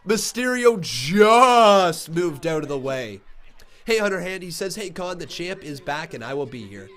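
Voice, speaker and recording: A man's voice: high voice, a little slow. Congested man hosting a live reaction stream. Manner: chatty, thinks aloud, bursts into excited play-by-play. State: congested from a cold. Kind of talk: casual live reaction chat and commentary.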